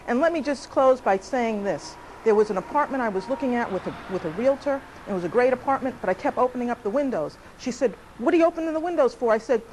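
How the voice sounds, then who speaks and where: Sardonic woman doing stand-up, fairly high voice. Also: a little hiss or hum, low-quality recording. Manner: punchy, sardonic, talks straight to the audience with rhetorical questions; drawn-out repeated punchlines. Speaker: comic performer reading her piece. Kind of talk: stand-up comedy monologue.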